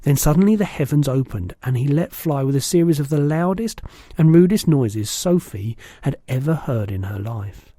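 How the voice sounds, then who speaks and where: Mellow, husky man reading a children's story. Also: medium pitch, expressive, moderate pace, dry recording. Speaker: storybook reader. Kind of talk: expressive children's story narration.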